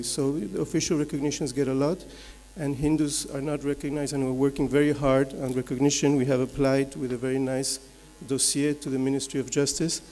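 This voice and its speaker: A man from Belgium, giving a measured, explanatory slide presentation as a conference speaker, point by point. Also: medium pitch, mellow. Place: large conference hall. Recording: echoey hall with long reverb.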